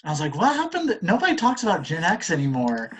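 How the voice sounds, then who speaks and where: A man in his late thirties chatting casually as a guest, halting and often restarting his sentences. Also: high voice, huge pitch swings, fairly bright tone. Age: late 30s. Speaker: returning panel guest. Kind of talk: casual chat.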